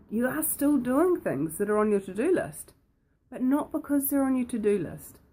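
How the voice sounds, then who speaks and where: A woman with a croaky voice, hosting and talking casually to the viewer, playful and full of questions put straight to them. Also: mid-range voice, a little mellow. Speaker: host. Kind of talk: casual talk to camera.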